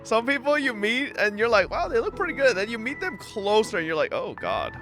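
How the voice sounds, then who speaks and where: Animated male streamer, wildly swinging pitch. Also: fairly quick. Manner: animated, teasing, giggly banter. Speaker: livestreamer. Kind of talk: casual game stream commentary. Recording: stream with game music behind.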